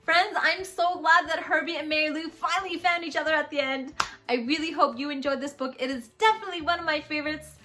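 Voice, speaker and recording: A woman host reading a children's picture book aloud in an animated, expressive storytelling way with drawn-out phrasing. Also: high-pitched.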